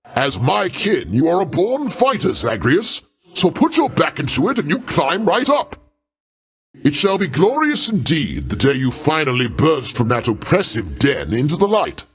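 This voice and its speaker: An actor playing a proud, paternal older god in a video game, speaking in a pompous, avuncular way with formal, theatrical diction. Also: male voice, on the high side, huge pitch swings, moderate pace, slightly rough, exaggerated voice. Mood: encouraging, proud. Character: grandiose, paternal older male Greek god, proud, easily offended.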